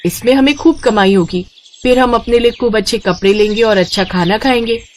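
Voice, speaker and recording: A woman's voice: fairly high pitch, a little fast, lightly acted. A woman voicing an earnest, kind-hearted poor teenage girl in a cartoon story, eager and sisterly.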